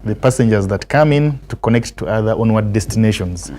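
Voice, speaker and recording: A man with a Ugandan accent giving formal, measured explanations as an official being interviewed. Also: lowish voice, lively intonation, dark tone. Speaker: aviation authority spokesman, interview guest.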